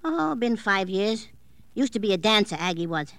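A woman's voice: medium pitch, sing-song, normal speed, fairly bright tone, smooth, no room sound. A woman playing a cranky, evasive older aunt in a radio drama, fussy and scolding, talking in clipped, colloquial fragments. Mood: offhand, blunt. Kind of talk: old-time radio drama acting.

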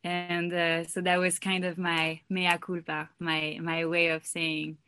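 A young woman chats loosely in an interview, filling her sentences with "like" and "you know". Her voice sits a little low for a woman. She sounds relaxed and unhurried, as a singer telling her own story. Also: clear, resonant tone. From France. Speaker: singer and songwriter guest. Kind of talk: casual interview chat.

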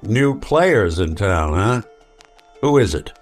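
An actor playing a world-weary, hard-boiled male detective in an old-time radio drama, speaking tersely and deliberately. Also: lowish voice, sing-song, faint music behind. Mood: terse, wry.

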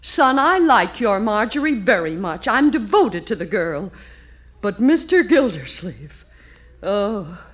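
A woman's voice: medium pitch, very animated, takes it slowly. An actress playing a stubborn, haughty middle-aged mother in a 1940s radio comedy. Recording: dull old radio recording.

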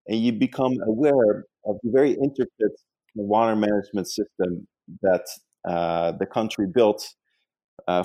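Dutch guy talking slowly with lots of uhs, low mellow voice, dry sound. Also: dry recording. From Netherlands. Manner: halting, many "uh" fillers and repeats. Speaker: guest author, architect. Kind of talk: podcast interview answers.

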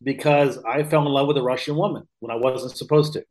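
A man's voice: medium pitch, steady tone, quick pace, darkish tone. American man in his fifties telling stories. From Missouri, United States. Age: 50s. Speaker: podcast guest, real estate investor. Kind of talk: casual anecdotal interview talk.

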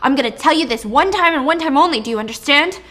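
A furious, domineering villain of a man from a spoken thriller story, acted out by a woman. The voice is loud and high, barking short commands and repeating demands with controlling menace. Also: moderate pace.

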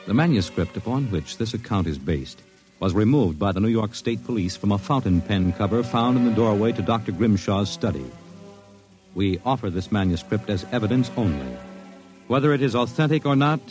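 An actor as a solemn, portentous announcer introducing a mystery in dramatic old-time radio style. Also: male voice, on the low side, lively intonation, moderate pace, close to an everyday voice. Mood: ominous. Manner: grave, portentous. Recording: vintage radio recording with loud music.

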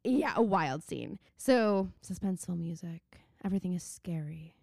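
Animated young woman podcast co-host. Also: fairly low pitch, moderate pace, vocal fry, no room sound, studio quality. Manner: animated, acts out characters' lines. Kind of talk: casual recap chat.

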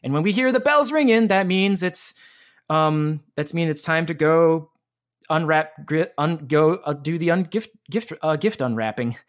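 This is an enthusiastic man who teaches voice and acts. He talks in bursts of lively energy, often stops to rephrase himself, and sounds easy and conversational throughout. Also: on the high side, unhurried. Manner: energetic and animated, with frequent restarts. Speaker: voice actor and voice teacher. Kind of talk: casual interview chat.

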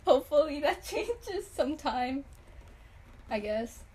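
A young woman in her late teens hosts a casual podcast with a fairly high voice. She is chatty and giggles often between her sentences. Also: sing-song, takes it slowly, a little mellow. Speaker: podcast host. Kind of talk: casual chat.

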